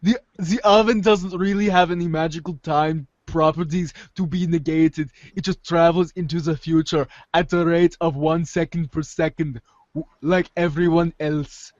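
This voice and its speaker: A man's voice: on the high side, fairly even intonation, normal speed, a little mellow, no echo, dull audio. A man reading a comic aloud informally as a podcast host, playful and sometimes stumbling over his words.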